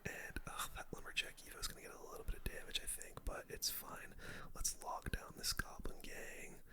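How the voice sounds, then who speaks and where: A game streamer whispering casual, slangy commentary in an ASMR style. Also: fairly quick. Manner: whispering throughout; casual, slangy gamer talk. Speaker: gaming video host.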